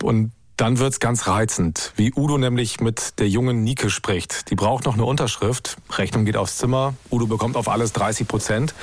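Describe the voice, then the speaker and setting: A man's voice: mid-range voice, a little hiss or hum. A man with pronunciation hosting a podcast, reading scripted narration.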